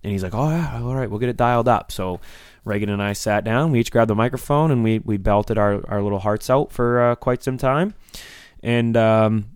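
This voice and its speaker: A young man with a slightly raspy voice co-hosts a casual podcast, telling a long story in a rambling, animated way full of fillers. His voice sits a little low and comes through smooth and resonant, recorded close and dry. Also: normal speed, no echo. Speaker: podcast co-host.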